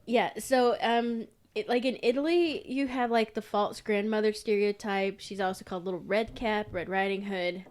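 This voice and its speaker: A woman's voice: mid-range voice, fairly even intonation, normal speed, hardly any pauses, darkish tone. Irreverent woman chatting and storytelling. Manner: irreverent, sweary. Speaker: podcast co-host telling folk tales. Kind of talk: casual chat and informal storytelling.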